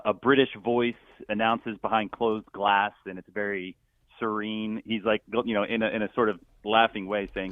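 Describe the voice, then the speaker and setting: A man's voice: lowish voice, no room sound. A middle-aged man from Philadelphia, a stadium announcer talking casually as a guest, rambling with many fillers and restarts. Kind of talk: casual phone interview. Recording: tinny phone line, dry.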